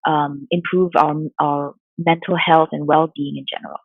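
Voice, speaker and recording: A woman from Singapore in her forties, a mindfulness coach answering interview questions in a soft, thoughtful way with many fillers. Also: low-pitched, level tone, normal speed, no echo. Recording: dull lo-fi sound, dry.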